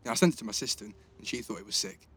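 Husky, easygoing teenage lad. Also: male voice, on the low side, racing, sharp tone. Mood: warm, affectionate. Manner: casual, slangy, teasing. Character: easygoing teenage lad, teasing, affectionate college student. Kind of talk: naturalistic audio drama dialogue.

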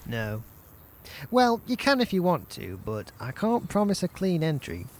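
Guy acting as cheeky bossy young mate in a radio play, highish lively voice, always teasing and wriggling out of stuff. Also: heavily acted. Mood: cocky, teasing. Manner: teasing, quick to wriggle out of blame. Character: cheeky, bossy, excitable young male schoolmate on an outing. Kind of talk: audio drama dialogue.

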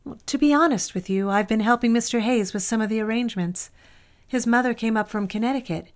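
A woman's voice: fairly high pitch, fairly quick, close to an everyday voice. An actress playing a worldly, motherly female office confidante who speaks in a soothing, confiding, gossipy way. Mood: grave. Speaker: office coworker and former secretary. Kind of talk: audio drama acting.

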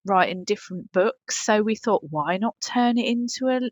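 A woman's voice: medium pitch, animated, normal speed, dry recording, studio quality. A woman podcast host in casual comic chat, often ending on tag questions.

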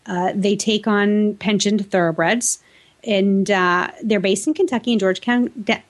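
A woman's voice: medium pitch, fairly even intonation, unhurried. Chatty American woman co-hosting a podcast. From New England, United States. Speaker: podcast co-host. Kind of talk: casual co-host banter and chat.

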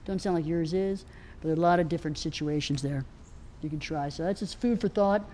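A soft-spoken woman gives advice at a live panel, her voice pitched a little low and mellow. She rambles, circling back with fillers and restarts. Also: brisk, a little hiss or hum. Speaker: equine nutrition expert answering questions. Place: outdoor event festival.

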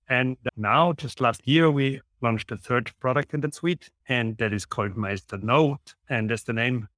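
A man's voice: medium pitch, a bit bright, no room sound, clean studio sound. A man with an Austrian accent speaking conversationally as a founder being interviewed. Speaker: interview guest, company founder and CEO.

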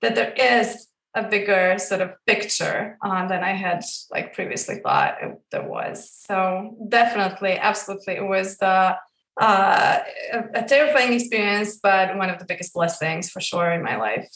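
A woman from Croatia speaks as an interview guest, feeling her way through each thought with fillers and restarts. Her voice sits at an ordinary middle pitch. The sound is roomy, and she is set back from the microphone. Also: fairly bright tone, distant mic. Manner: searching, halting, many fillers and restarts. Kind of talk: reflective personal interview.

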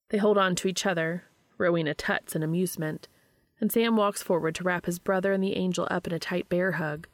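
A woman reading a story aloud as narrator, in an expressive scripted storytelling style. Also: fairly low pitch, creaky, no echo. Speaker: fan fiction reader and narrator.

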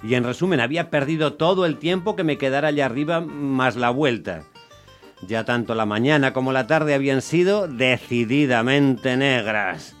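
A man playing an exasperated, unlucky taxi driver, telling his story in a wry, expressive way as radio drama. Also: medium pitch, moderate pace, soft background music, lightly acted. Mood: resigned, wry. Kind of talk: dramatised first-person radio narration.

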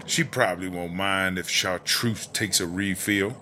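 A man's voice: low voice, fairly even intonation, a little slow. Man playing streetwise tipsy woman convict over music. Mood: boozy, relaxed. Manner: slangy, streetwise, drawling storyteller. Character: Chartreuse, tipsy streetwise woman prisoner telling old stories. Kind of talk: audio drama monologue.

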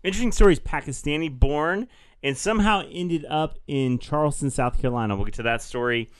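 A man's voice: a little high, fairly quick, smooth, dry recording. A man co-hosting a podcast, chatting casually with upbeat, jokey banter. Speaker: podcast co-host.

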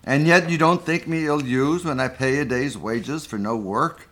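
A cold, miserly old man of business played by a man in a radio play. He speaks at a middling pitch, curtly and with scorn, dismissing everyone in a grumpy manner. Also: moderate pace, fairly bright tone, natural voice. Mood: grumbling, stern. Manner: curt, scornful. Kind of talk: live radio play reading.

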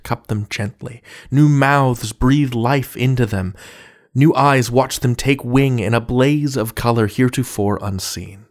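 A man hosting a podcast, reading a scripted introduction in a literary, rhetorical manner with deliberate phrasing. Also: mid-range voice. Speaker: podcast host.

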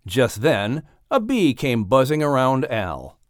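Expressive male storybook narrator, dry sound. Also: medium pitch, slow, no echo. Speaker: audiobook narrator.

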